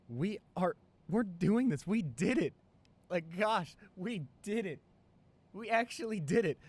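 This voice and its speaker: Man playing a giddy, sentimental college boyfriend. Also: a little high. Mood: elated, giddy. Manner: gushing, halting, repeats himself in amazement. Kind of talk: improvised ASMR boyfriend roleplay.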